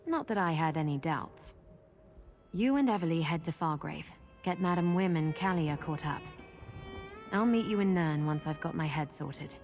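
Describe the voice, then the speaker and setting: A composed adventurer in a fantasy game. Her voice sits a little low, measured and earnest with a reserved temper, over faint background music. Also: lively intonation, a little mellow. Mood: calm, reserved. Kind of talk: video game character acting.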